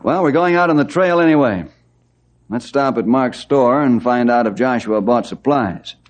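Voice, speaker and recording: An actor playing a steady, firm head forest ranger in an old-time radio western. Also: male voice, mid-range voice, normal speed, natural voice. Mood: businesslike. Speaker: ranger leader. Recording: old radio drama recording.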